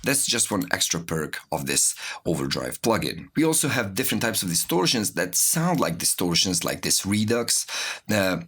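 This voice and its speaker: A man teaches in a low, husky voice with plenty of rise and fall. He explains things step by step in an informal way, like an instructor demonstrating software in a tutorial. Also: big pitch swings, moderate pace. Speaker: music production instructor.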